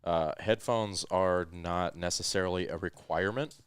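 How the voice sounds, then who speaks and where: A man with a smooth, very deep voice talks through podcast gear in a laid-back, meandering way, often pausing on ums and uhs. He speaks into a headset microphone, and the sound is close and dry with no room around it. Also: dry recording. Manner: relaxed, rambling explanations with frequent ums and uhs. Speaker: podcast host. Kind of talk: casual explanatory tech chat. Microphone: headset microphone with headphones.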